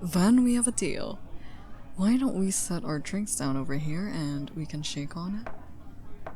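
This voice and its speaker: A woman's voice: fairly low pitch, dark tone, a little hiss or hum, natural voice. A woman playing a flirty, charming stranger at a party in an intimate ASMR romance roleplay, with a teasing, coaxing delivery. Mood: flirty, inviting. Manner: teasing, coaxing, unhurried, often drops to a whisper.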